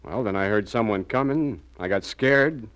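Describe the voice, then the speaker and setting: Man acting as a low-voiced, anxious murder suspect. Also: normal speed, fairly bright tone, clean tone, natural voice. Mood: nervous. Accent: American. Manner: hesitant, earnest. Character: anxious, naive murder suspect pleading his innocence. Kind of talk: 1940s radio crime drama acting.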